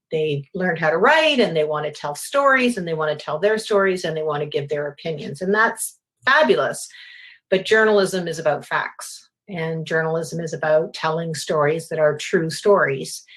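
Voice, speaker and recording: Thoughtful older woman, low voice. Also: fairly bright tone. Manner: thoughtful, repeats words as she thinks. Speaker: retired journalism professor. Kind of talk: interview answers.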